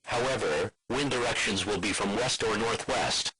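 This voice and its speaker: Low-pitched synthetic male alert announcer. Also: moderate pace, a loud crowd, poor audio. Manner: formal, flat synthetic text-to-speech delivery. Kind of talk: scripted emergency broadcast announcement.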